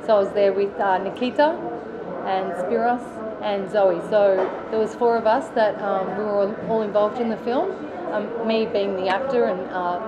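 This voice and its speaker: A woman with an Australian accent, an actress answering interview questions in a relaxed, chatty way with lots of fillers and restarts. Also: mid-range voice, muffled recording. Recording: loud background noise.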